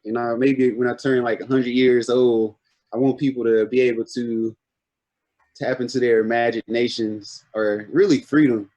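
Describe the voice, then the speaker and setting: A man in his twenties, a guest artist talking casually on a video-call podcast, relaxed and searching for his words as he goes. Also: medium pitch. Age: 20s.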